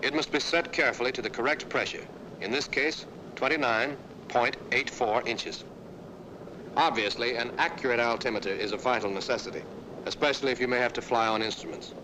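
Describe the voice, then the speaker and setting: A man's voice: medium pitch, noisy background. Man narrating a military training film. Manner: brisk, direct, addresses the listener as "you". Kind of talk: scripted instructional narration.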